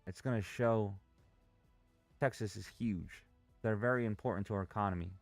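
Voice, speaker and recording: A man's voice: lowish voice, normal speed, gravelly, dry recording, soft background music. Impatient, swearing day-trader man. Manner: restless and impatient, swears freely. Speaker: day trader streaming live. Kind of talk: casual live trading commentary.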